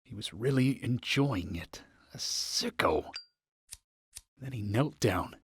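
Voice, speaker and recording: An actor playing a brooding male lawman in a video game, speaking in a hushed, husky, breathy voice. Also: lowish voice, expressive, no room sound, heavily acted. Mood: disgusted, brooding. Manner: slow, hushed. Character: brooding male lawman picturing a grisly crime. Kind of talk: video game cutscene acting.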